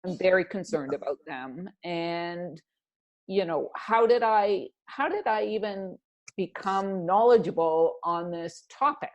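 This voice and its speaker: A middle-aged woman speaks as an interview guest in a reflective, conversational way. Her voice sits a little low. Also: lively intonation, a little slow, lo-fi sound. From Canada. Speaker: interview guest, executive coach.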